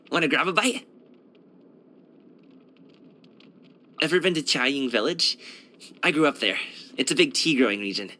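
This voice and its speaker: Cheerful young kung fu dancer for a game: bright, animated, breezy, casual slang. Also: male voice, high voice, heavily acted. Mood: friendly, eager. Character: upbeat young male kung fu street dancer and courier. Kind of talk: video game character voice lines.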